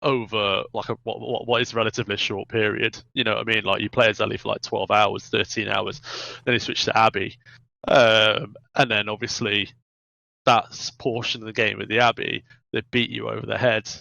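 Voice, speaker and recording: Bright, creaky-voiced man, halting podcast talk. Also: fairly low pitch, level tone, no echo, dull audio. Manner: halting, repeats words while searching for phrasing. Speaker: podcast co-host. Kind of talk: casual video game discussion.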